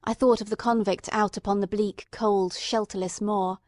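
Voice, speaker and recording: Audiobook narrator: woman, medium register, dry close sound, measured, expressive literary reading. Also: medium pitch, no echo.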